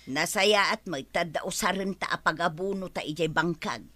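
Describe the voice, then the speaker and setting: An actress playing a nagging, worried farmer's wife in an Ilocano radio comedy drama. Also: female voice, on the low side, moderate pace, a bit bright, dry recording. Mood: questioning.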